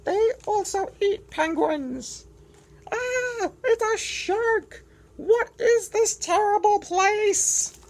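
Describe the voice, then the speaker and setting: A woman's voice: medium pitch, gravelly, breathy edge, voice pushed up, heavily acted. A woman voicing a grumpy, whiny young penguin in a picture book read-aloud. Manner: complaining, whiny.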